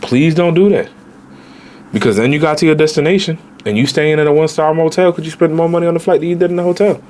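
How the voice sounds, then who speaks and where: A man in his late twenties, a travel vlogger giving casual, animated budget travel advice straight to viewers, with slang. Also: on the high side, quick pace. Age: 20s.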